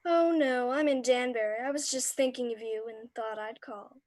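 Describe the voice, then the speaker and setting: A woman's voice: fairly high pitch, moderate pace, a little mellow, close to an everyday voice. A woman playing a breezy, gossipy suburban mother chatting in a radio play.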